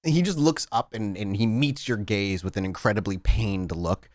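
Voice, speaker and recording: A man running a tabletop game, improvising narration in a descriptive, halting way with dramatic pauses. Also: on the low side, no room sound, clean studio sound. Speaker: game master.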